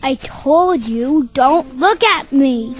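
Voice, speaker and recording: A woman's voice: sits high, animated, takes it slowly, soft background music. A woman playing a sullen, menacing six-year-old boy in a radio drama, petulant and threatening. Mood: cold menace.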